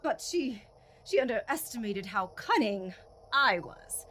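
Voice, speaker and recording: High-voiced, haughty, cruel empress. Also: female voice, lively intonation, unhurried, fairly bright tone. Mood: proud, bitter. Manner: imperious, scornful, theatrical. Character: cruel, haughty empress, bitter toward her step-sister. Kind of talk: audio drama acting.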